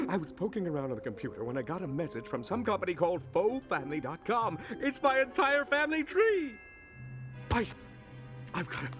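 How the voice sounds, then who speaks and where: A man voicing a giddy, naive video game character, gushing and stammering. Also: very high-pitched. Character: excitable, flighty male character, giddy and naive. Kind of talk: video game cutscene acting. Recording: loud music behind the voice.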